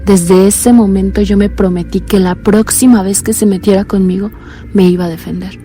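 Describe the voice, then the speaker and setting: An actress playing a blind young woman calling in to tell a frightening story, talking in a low, earnest, uneasy way. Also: level tone, moderate pace, dark tone, soft background music, natural voice. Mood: anxious. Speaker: caller. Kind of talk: radio drama acting.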